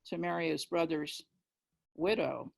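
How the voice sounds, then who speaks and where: A woman talking in a measured, emphatic, explanatory way during a literary discussion on a video call. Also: sits low, gravelly, darkish tone. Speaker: discussion participant, questioner.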